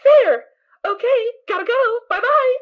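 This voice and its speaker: A woman voicing a cheerful, naive little girl pony foal who talks in a bubbly, excitable way. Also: extremely high pitch, unhurried, phone-quality sound. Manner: bubbly, sing-song, excitable.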